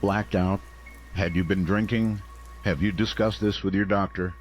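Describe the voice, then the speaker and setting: An actor playing a small-town late-night radio host, measured, serious and a little skeptical. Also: male voice, low voice, steady tone, lo-fi sound, soft background music, natural voice. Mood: doubtful. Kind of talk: radio drama call-in hosting.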